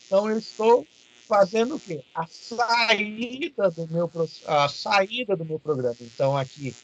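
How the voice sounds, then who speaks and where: A man's voice: high-pitched, a little slow, lo-fi sound. A Brazilian man giving an informal, didactic lecture as a programming teacher, often checking in with short questions to his students.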